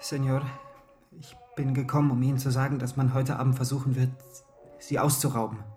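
An actor playing a troubled, self-loathing young man from a poor background in a German radio play, with hesitant, dramatic pauses. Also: medium pitch, little pitch movement, darkish tone, faint music behind, natural voice. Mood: hesitant confession. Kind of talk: German radio play acting with inner monologue.

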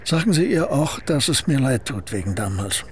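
An actor playing an aging male painter and poet in a radio play, speaking in a husky voice with a dry, reserved and curt manner. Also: mid-range voice, big pitch swings, normal speed, slight background noise. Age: older.

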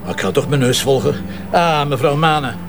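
A man's voice: medium pitch, fairly quick, lightly acted. A man with a Flemish accent playing a stubborn, wry police commissioner in a detective audio drama, speaking informally and musingly. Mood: businesslike, insistent. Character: dogged male police commissioner, stubborn, hunch-driven, wry.

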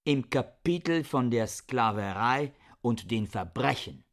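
A man's voice: mid-range voice, animated, slow pace. An actor playing an embittered, reclusive male scholar in a radio play, insistent and pedantic in manner. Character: embittered, book-obsessed reclusive scholar defending his sanity. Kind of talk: German radio play acting.

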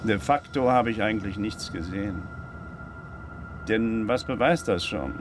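An actor in a German radio drama playing a cautious, methodical handyman, formally worded and deliberate. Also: on the low side, over music, close to an everyday voice. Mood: firm, resolute. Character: Floyd, male handyman drawn into crime, cautious, methodical.

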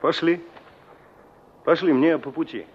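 An actor in a Soviet radio play as a gruff but good-natured village forest warden, plain-spoken and conversational. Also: male voice, fairly high pitch, unhurried, slight background noise, natural voice. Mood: reflective, explaining. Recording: dull old radio drama recording.

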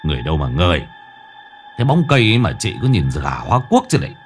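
A man voicing a gruff, scheming middle-aged village man who mutters in a low voice. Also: huge pitch swings, fairly quick, faint music behind, exaggerated voice. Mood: dismissive.

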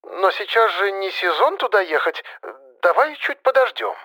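A man's voice: sits high, very animated, moderate pace, bright, forceful tone, pitched above the performer's own voice, heavily acted. A man voicing an anxious, nagging elderly mother in an audiobook story, plaintive and lecturing, often sighing. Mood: doubtful.

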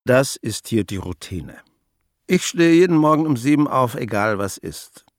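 A man's voice: medium pitch, big pitch swings, measured pace, no room sound. An actor playing an older grandfather in a radio drama, wry and hesitant, set in his ways and given to dry questions. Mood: wry, matter-of-fact. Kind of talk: naturalistic German radio drama dialogue.

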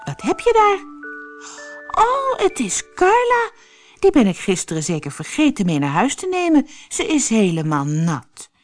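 A woman's voice: fairly high pitch, huge pitch swings, measured pace, faint music behind, voice pushed up. A woman voicing a cheerful little girl in a children's storybook, with childlike, exclaiming calls. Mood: surprised. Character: cheerful little girl who owns many toys.

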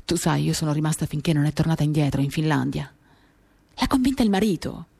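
A woman's voice: deep voice, expressive, quick pace, gravelly, mellow, breathy edge. A woman reading a literary monologue for radio theatre in an intimate, dramatic, confiding way, in short phrases. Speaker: reader of a novel for radio theatre. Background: acoustic guitar music.

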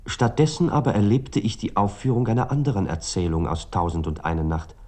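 An actor playing a reflective writer recounting a night of war in a literary radio drama, articulate and brooding. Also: male voice, on the low side, a little fast, a little mellow, close to an everyday voice. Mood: grave, reflective.

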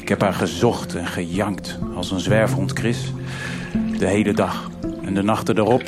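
An actor playing a weary, haunted middle-aged detective in a noir radio drama, muttering and halting as he talks. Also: sits low, fairly even intonation, close to an everyday voice. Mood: wistful. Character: weary, haunted older male detective confessing his past. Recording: music behind the voice. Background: live musical accompaniment with guitar.

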